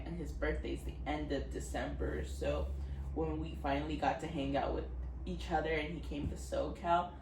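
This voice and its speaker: A woman in her twenties rambles through a casual vlog chat, sprinkling in "like" and quick giggles. Her voice sits low for a woman. The mic is set back from her, so the room rings and a steady background noise hums underneath. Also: echoey room, distant mic. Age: 20s. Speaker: vlogger.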